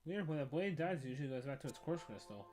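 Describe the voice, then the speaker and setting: A man gives relaxed, rambling commentary while playing a video game, laughing often and trailing off into asides. Game music plays clearly behind his voice. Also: on the high side, fast talker. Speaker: let's play streamer. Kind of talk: casual gameplay commentary.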